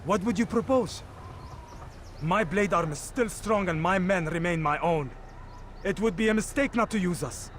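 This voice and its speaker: An actor playing a loyal, gravely determined medieval warrior, solemn and formal, in a dramatic video game cutscene. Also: male voice, high voice, normal speed, a bit bright, a little hiss or hum.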